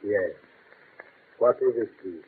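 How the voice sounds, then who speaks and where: A man's voice: on the low side, slow. An actor playing an old male mystic spellcaster who speaks in a grave, formal manner, in a 1940s radio drama. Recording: tinny old radio broadcast, faint hiss.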